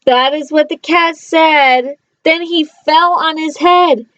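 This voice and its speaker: A woman's voice: high voice, slow pace, fairly bright tone, no room sound, muffled recording. A woman reading a storybook aloud expressively, like a teacher, and putting questions to young listeners. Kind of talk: animated storybook narration with asides.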